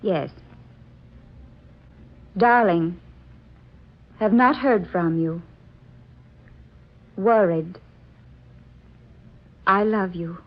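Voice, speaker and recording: A woman's voice: medium pitch, drawn-out words, long pauses. An actress playing a devoted, anxious young woman in love in an old-time radio drama, with earnest, melodramatic emotion. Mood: worried. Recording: dull lo-fi old radio recording, music behind.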